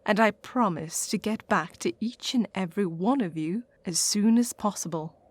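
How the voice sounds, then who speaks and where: A woman's voice: medium pitch, moderate pace, close to an everyday voice. A woman with an English accent playing a haughty, theatrical lady witch who hosts a radio sitcom in an arch, bossy way. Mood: grand, droll. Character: haughty, theatrical lady witch hosting from her haunted castle. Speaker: host.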